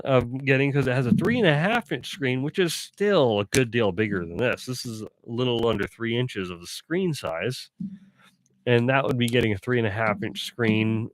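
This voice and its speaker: A man chats to his live-stream viewers at an ordinary pitch, rambling along and correcting himself as he goes. His voice sounds stuffy and a little sore. The sound is dry and close, with no room echo. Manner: rambling, self-correcting, chatty. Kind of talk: casual live-stream chat.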